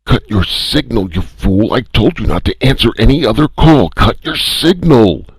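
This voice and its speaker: A man voicing an unknown male caller on a radio link who shouts commands stridently. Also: rumbling low, sing-song, unhurried, gravelly, slightly rough, dry recording, pitched above the performer's own voice, full character voice. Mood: frantic.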